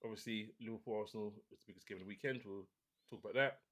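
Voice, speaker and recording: A man hosting a casual football podcast, talking in a relaxed, opinionated way with many restarts. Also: fairly low pitch, very fast. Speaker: podcast host.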